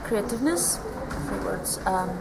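A woman's voice: mid-range voice, very animated, unhurried, vocal fry. Young Lithuanian-born woman, outdoor noise. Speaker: volunteer. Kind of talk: casual interview answers. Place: outdoors in a garden.

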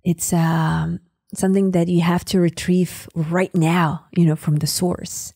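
A woman's voice: on the low side, level tone, unhurried, gravelly, warm tone, breathy edge, no echo, studio quality. A woman from the Sakha Republic, a native Sakha speaker with a Sakha accent, talking as a podcast guest and often searching for words with fillers. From Sakha Republic, Siberia. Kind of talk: conversational interview.

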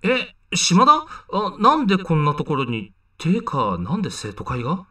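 A young man playing a flustered, flippant high school boy in an amateur voice drama, casual, sceptical and given to exclaiming. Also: big pitch swings, normal speed, pushed and bright, far from the mic, exaggerated voice. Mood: baffled.

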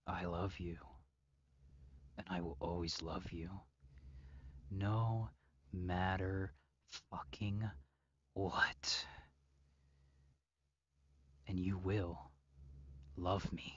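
A man's voice: deep voice, fairly even intonation, very slow, pauses often, fairly bright tone, no room sound, lo-fi sound, full character voice. A man playing an obsessive, possessive psychopath captor in an intimate roleplay audio drama, speaking with mock tenderness and often dropping to whispers. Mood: cold devotion.

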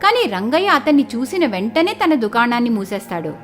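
A woman narrating a scripted village moral story in an expressive storytelling style. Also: fairly high pitch, fairly quick, faint music behind.

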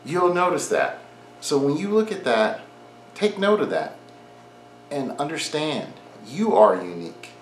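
A man's voice: a little high, very animated, unhurried, roomy sound, a little hiss or hum. A man in his forties giving earnest training advice straight to the camera from his home garage gym, repeating his key points in an encouraging way. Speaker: fitness vlogger. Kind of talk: direct-to-camera advice talk.

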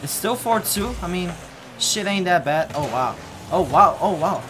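A man's voice: animated, unhurried, noisy background. Young man streaming, blunt and mumbling. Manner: blunt, talks back to chat, low mumbled asides. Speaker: game streamer. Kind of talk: casual live-stream chat while playing. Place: home room at a desk. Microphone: headset. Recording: game music playing loudly underneath. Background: game music.

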